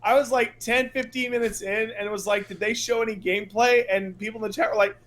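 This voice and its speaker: Exasperated, higher-voiced male podcast co-host. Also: fairly even intonation, fast, a bit bright. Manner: exasperated, hyperbolic, emphatic. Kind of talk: casual podcast chat, ranting commentary.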